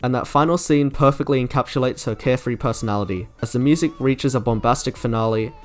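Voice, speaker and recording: A man's voice: medium pitch, fast. A man delivering scripted, enthusiastic review narration in an articulate, wordy way, like a video essayist. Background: music playing under the narration.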